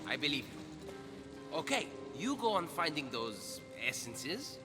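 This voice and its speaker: A man's voice: fairly high pitch, very animated, slow pace, over music. A man playing a confident, roguish warrior, a teasing older brother in a video game. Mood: reassuring, cocky. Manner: cocky, teasing. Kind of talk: video game cutscene acting.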